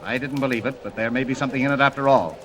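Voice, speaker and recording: A man playing a gruff American newspaper boss in a forties radio serial. He is brusque and impatient, barking his words on an old broadcast recording. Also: medium pitch, racing, a bit bright, natural voice. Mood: impatient, exasperated. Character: gruff, impatient male newspaper editor, easily exasperated. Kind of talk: 1940s radio drama acting.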